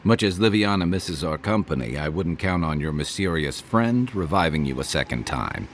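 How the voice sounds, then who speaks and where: A man's voice: low-pitched, lively intonation, moderate pace, a little hiss or hum. A man playing a big, easygoing, protective soldier companion in a video game, with a wry manner. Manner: wry, drawling, nautical slang. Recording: background game music.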